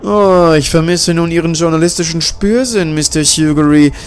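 A man's voice: fairly high pitch, moderate pace, clean tone, soft background music, voice pushed up, natural voice. A man voicing a polite, cynical male mad-scientist doctor who speaks with mocking courtesy. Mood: menacing polite. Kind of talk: audiobook character reading.

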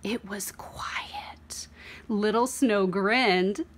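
A young woman reading a picture book aloud to children as host, expressive, with dramatic pauses and emphasis. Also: mid-range voice. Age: young adult.

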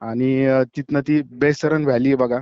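A man's voice: mid-range voice, fairly even intonation, moderate pace, smooth, no room sound. A man with a Marathi accent giving an eyewitness account over the phone in a hesitant, conversational way. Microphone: phone call. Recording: dull lo-fi phone call.

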